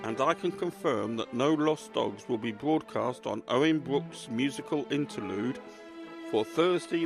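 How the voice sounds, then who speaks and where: A man presents a podcast in a chatty, bookish way. His voice has a gravelly creak, and light music plays beneath it. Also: mid-range voice, dry recording, clean studio sound. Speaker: podcast host and crime writer. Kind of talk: chatty book talk and scripted narration.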